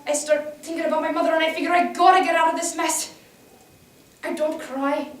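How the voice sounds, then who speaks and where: A woman performing a young immigrant factory girl who survived a fire, telling it on stage with halting dramatic intensity. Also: very high-pitched, steady tone, voice pushed up. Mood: frightened, determined. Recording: echoey room, distant mic.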